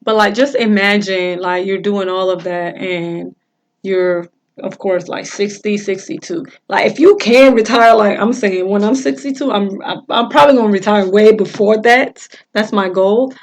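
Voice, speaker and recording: A woman co-hosting a podcast, chatting in a relaxed, rambling way with lots of fillers. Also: mid-range voice, moderate pace. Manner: relaxed, rambling, with frequent "like" and "mm-hmm". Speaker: podcast co-host. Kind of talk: casual chat.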